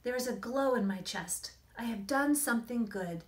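Expressive woman reading aloud, higher voice. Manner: expressive, emphatic storytelling with dramatic pauses. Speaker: children's author reading aloud. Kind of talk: expressive picture-book read-aloud and explanation to children. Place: small indoor room against a plain wall.

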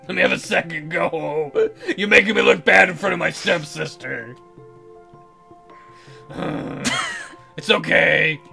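A man's voice: on the high side, takes it slowly, creaky, pauses often, bright, forceful tone. A man reading visual novel lines aloud as a let's player, dropping joking, teasing asides. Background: game music.

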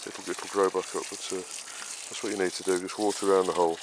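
A man's voice: low voice, flat delivery, a little fast. A man touring his vegetable allotment on camera, explaining his planting step by step in a rambling way, with lots of fillers and restarts. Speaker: allotment gardener, show host. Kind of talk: casual garden tour walkthrough. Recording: noisy outdoor camera audio. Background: outdoor rural ambience.

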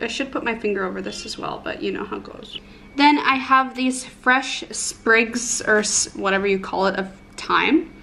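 A woman's voice: medium pitch, vocal fry, fairly bright tone, a little hiss or hum. A woman in her twenties talking casually to her vlog viewers at home, bubbly, informal and self-deprecating. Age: 20s. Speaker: beauty and lifestyle vlogger. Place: indoors at home, living room and kitchen.

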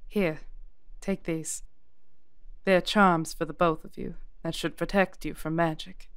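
A woman playing a calm, perceptive witch in an audio drama, speaking in a measured, earnest way. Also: on the low side, smooth, dry recording, clean studio sound. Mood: gentle, knowing. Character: calm, perceptive female witch ally granting blessings and charms. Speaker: voice actor.

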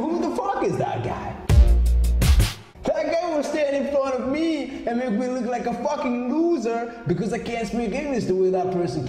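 A man's voice: sits high, dark tone, very echoey, in a large hall. A young man ranting to the camera in a small room, angry and emphatic, swearing often, into an earphone mic. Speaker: vlogger. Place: small indoor room with maps on wall.

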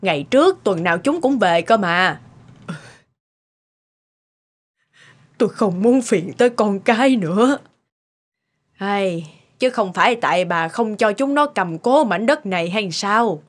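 A woman's voice: medium pitch, lively intonation, moderate pace, natural voice. A woman voicing an earnest, emotional elderly woman in a cartoon family drama. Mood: concerned. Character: earnest, outspoken elderly neighbour and gentle old mother. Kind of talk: animated drama voice acting.

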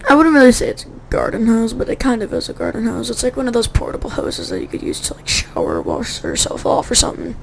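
A woman's voice: fairly high pitch, wildly swooping pitch, fast, creaky, few pauses, slight background noise. A woman giving casual, rambling commentary over gameplay, her voice a little sore, often correcting herself as she goes. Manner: rambling, self-correcting, chatty. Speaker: gaming YouTuber.

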